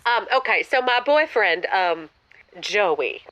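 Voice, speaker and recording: A woman's voice: mid-range voice, expressive, slow pace, a bit bright, no room sound. A woman playing a hesitant, coy caller to a radio advice show, heard through a phone filter. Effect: phone line filter. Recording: muffled, narrow call-in phone line.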